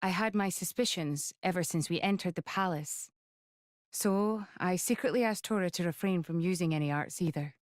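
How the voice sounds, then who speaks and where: A woman playing a stern, composed military noblewoman in a game, speaking in a measured, formal way. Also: fairly low pitch, no room sound, studio quality, natural voice. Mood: calm, assured. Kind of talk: voiced game cutscene acting.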